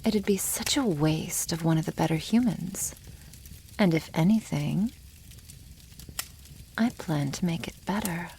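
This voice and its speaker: Slow, low-voiced haughty dragon woman, acted by a woman. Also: a little mellow, slight background noise, low-quality recording. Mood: amused, condescending. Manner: slow, condescending, teasing, often dropping to a breathy whisper. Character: haughty female dragon, teasing and possessive toward humans. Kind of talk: ASMR roleplay.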